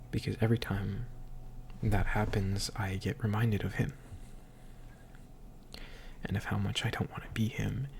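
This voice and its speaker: A slightly tipsy man recording a confessional audio diary, speaking in a halting, hushed, introspective way that often trails into a whisper. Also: on the low side, gravelly, slight background noise. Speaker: audio diarist.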